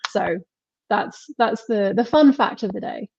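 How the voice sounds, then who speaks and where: A woman's voice: mid-range voice, measured pace, vocal fry, a little mellow, highs cut off, slight background noise. A woman with an English accent, a marketing mentor and podcast guest who chats in a rambling way and laughs often. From England. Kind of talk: casual interview chat.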